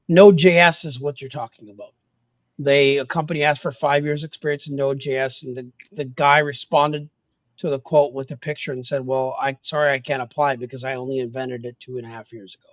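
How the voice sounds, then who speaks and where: A man's voice: medium pitch, no room sound. A man co-hosting a podcast in casual chat, talkative with sarcastic asides. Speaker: podcast co-host.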